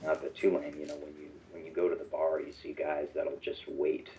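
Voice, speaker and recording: A man's voice: extremely low pitch, monotone, brisk, warm tone, muffled recording, slight background noise. A man in an anonymous recorded interview, speaking bluntly and conversationally.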